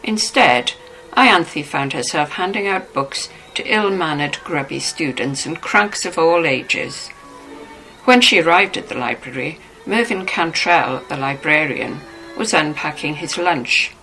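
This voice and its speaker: A woman's voice: deep voice, expressive, unhurried, sharp tone, soft background music, rough recording. A woman reading scripted narration from a novel.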